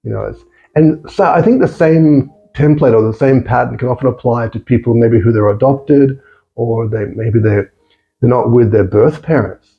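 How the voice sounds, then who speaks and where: A man's voice: medium pitch, moderate pace, gravelly, lo-fi sound. A man with an Australian accent, a podcast guest talking thoughtfully and repeating words as he searches for phrasing. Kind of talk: reflective casual conversation.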